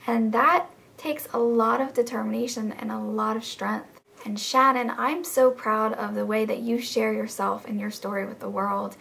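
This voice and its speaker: A young woman who is losing her voice, talking earnestly and encouragingly to her friends in a casual video message, often slipping into a breathy, whispery delivery. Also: on the high side, steady tone, normal speed, fairly bright tone. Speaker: vlogger in a group channel.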